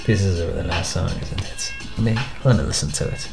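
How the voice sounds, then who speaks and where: A man's voice: low-pitched, brisk, vocal fry. Hesitant man. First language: Portuguese. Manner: hesitant, many fillers and restarts. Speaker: podcast host. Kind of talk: rambling solo vlog. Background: faint music.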